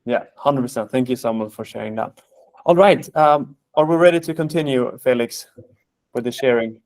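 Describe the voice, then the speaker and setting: A man's voice: medium pitch, normal speed, a little mellow, dry recording. A man with a Swedish accent hosting a webinar over a video call, friendly and full of fillers. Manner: friendly, hesitant with many fillers. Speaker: webinar host. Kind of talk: casual webinar chat.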